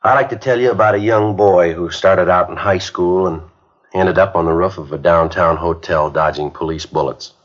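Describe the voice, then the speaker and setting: An actor playing an earnest police sergeant rehearsing a school speech in a 1950s radio drama, heard on a muffled old broadcast recording. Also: male voice, low-pitched, steady tone, normal speed. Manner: earnest, plain-spoken lecturing. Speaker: police detective giving a speech.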